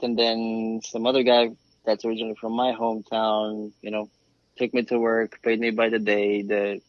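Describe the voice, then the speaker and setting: A man's voice: medium pitch, dry recording. A man around forty from Romania, telling his story conversationally as a podcast guest. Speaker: podcast guest, flooring craftsman. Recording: slightly dull, dry remote call.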